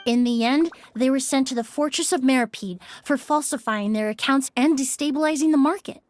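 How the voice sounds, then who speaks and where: A woman voicing a composed, shrewd young perfumer in a video game, speaking in a measured, formal, courteous manner. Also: sits high, no room sound, clean studio sound, close to an everyday voice. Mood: probing, confident. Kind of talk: scripted video game dialogue.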